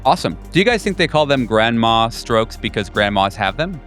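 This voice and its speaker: A man's voice: mid-range voice, very animated, a little fast, fairly bright tone. A man narrating a tabletop game as game master in a loose, conversational, descriptive way. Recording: music under the voice.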